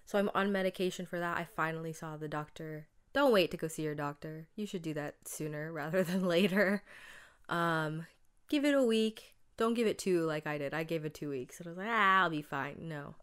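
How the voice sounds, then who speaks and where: A woman's voice: low voice, fairly quick, clean tone. A young woman hosting her own podcast, talking casually and chattily with lots of fillers, her voice still stuffed up from a cold. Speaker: podcast host. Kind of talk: casual solo podcast monologue.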